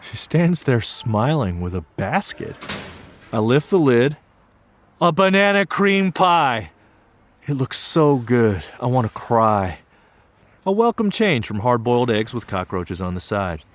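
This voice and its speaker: An actor narrating as an earnest young student, telling his story in an earnest, wry and lively way. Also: medium pitch, a little slow. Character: earnest young Japanese American male student. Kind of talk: one-man stage play narration.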